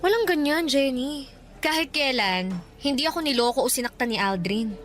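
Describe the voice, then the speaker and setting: A woman acting as a gentle, hesitant young engaged woman in a radio drama, speaking earnestly and conversationally. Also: high-pitched, normal speed. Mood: troubled, hesitant. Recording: music bed behind studio dialogue.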